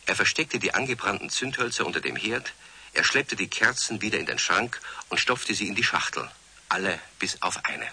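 Low, husky male storyteller. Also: animated, moderate pace, bright, forceful tone. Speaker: narrator. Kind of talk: scripted children's storytelling narration.

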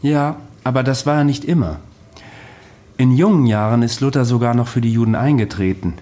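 An actor playing a self-assured man recounting his past in a radio drama, matter-of-fact and measured. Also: mid-range voice, dark tone, lightly acted. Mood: calm, matter-of-fact. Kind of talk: radio drama dialogue, storytelling.

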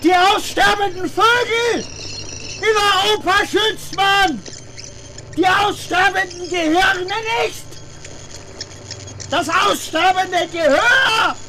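An actor playing an outraged fanatic in a radio drama, shouting in drawn-out shrill cries. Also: very noisy. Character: shrieking, outraged, fanatical protester. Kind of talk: avant-garde radio drama.

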